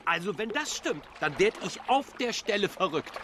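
A man's voice: sits high, very animated, normal speed, noisy background. An actor playing a rowdy, jokey pirate crewman in a children's radio play. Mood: jokey, amazed. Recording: loud music and crowd behind.

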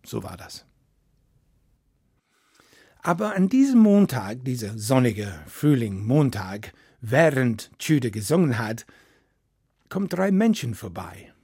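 A man's voice: mid-range voice, big pitch swings, slow pace, long pauses, mellow, slightly rough, no room sound, clean studio sound. A man telling a folk story in to a live audience, chatty and playful with asides. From Wales. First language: English. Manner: chatty, playful asides, non-native grammar.

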